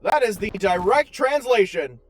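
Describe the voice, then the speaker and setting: A performer voicing a calm, literal-minded tour guide AI in a space comedy audio drama, formal and matter-of-fact. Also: mid-range voice, a little slow, over music, close to an everyday voice. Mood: impassive.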